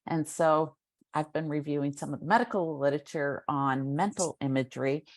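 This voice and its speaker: A woman hosting an interview, asking questions and explaining a topic at a measured pace. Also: low-pitched, no echo. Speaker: interviewer host.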